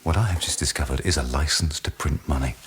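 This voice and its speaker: A man's voice: rumbling low, quick pace, a bit bright, slight background noise. An actor in a radio drama playing a driven, ambitious male scientist, insistent and persuasive. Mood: excited, urging.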